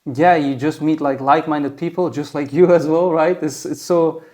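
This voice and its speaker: A man's voice: on the high side, steady tone, normal speed, a little mellow. Enthusiastic young-sounding male host. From Belgium. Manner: eager, rambling, lots of "like" and "yeah". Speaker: podcast host. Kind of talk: casual interview chat.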